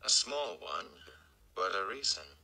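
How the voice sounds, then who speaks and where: A man voicing a calm, tired, commanding sorceress in a storybook reading, measured and in control. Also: deep voice, creaky, clear, resonant tone, full character voice, low-quality recording. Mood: calm, dry.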